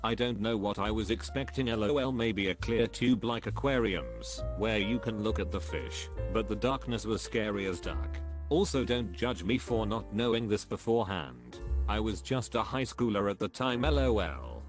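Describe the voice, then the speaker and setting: Low-voiced male narrator over music. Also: lively intonation, normal speed. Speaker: narrator reading online posts. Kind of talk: scripted narration. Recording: voice-over with clear background music. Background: music bed.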